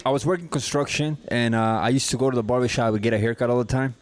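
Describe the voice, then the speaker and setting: A man's voice: mid-range voice, racing. A man, a podcast guest chatting casually and telling stories in a laid-back way. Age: 30s. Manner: storytelling, laid-back, says "you know what I'm saying" often.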